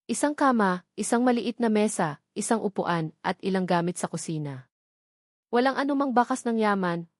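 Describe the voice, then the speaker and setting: A woman's voice: medium pitch, a little mellow, no room sound, studio quality. A woman with a Filipino accent reading scripted narration of a romance story.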